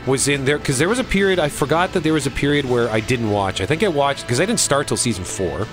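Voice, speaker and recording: A man co-hosting a podcast in casual conversation, speaking in a halting way as he thinks aloud. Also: medium pitch, expressive. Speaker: podcast co-host. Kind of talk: casual chat about TV. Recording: music behind the talk.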